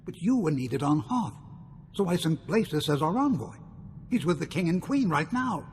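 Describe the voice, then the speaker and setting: A man's voice: on the high side, sing-song, moderate pace. An actor playing an anxious, well-meaning statesman in a video game, fretful and formal. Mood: concerned. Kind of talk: video game cutscene acting. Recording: long reverb, faint noise.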